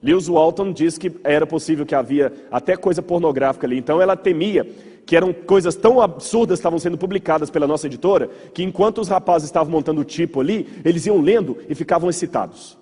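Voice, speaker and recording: Brazilian pastor lecturing in a reverberant hall. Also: male voice, fairly high pitch, fast talker, darkish tone, slightly rough. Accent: Brazilian Portuguese. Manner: conversational, asks the audience rhetorical checks, repeats words for emphasis. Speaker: pastor giving a church history lecture. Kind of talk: illustrated lecture to a congregation, informal storytelling. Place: large hall with audience. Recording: reverberant hall, slightly muffled voice.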